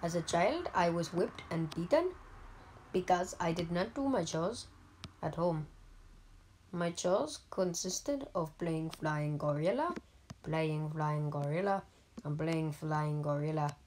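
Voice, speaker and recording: A speaker with an Indian accent comments on a mobile game in a flat, joking deadpan. The words come slowly and sit in a lowish register. A faint hiss runs under the home mic recording. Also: female voice. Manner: deadpan, halting, joking persona. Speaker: gaming YouTuber. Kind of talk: comedic gameplay commentary.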